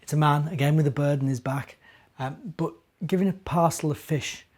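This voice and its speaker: A middle-aged man with a slightly high voice speaks as a children's storyteller. He addresses his young listeners directly, putting gentle questions to them in the manner of a minister at story time. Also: normal speed. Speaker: minister reading children's stories.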